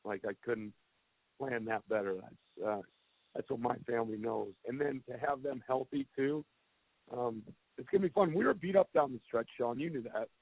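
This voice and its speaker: A man coaching a football team talks candidly and plainly, with some self-deprecating honesty. His voice sits at a middle pitch and comes through a thin, tinny telephone line with no room sound at all. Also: normal speed, creaky, dry recording. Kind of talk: answering questions on a press conference call. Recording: tinny phone line, dry.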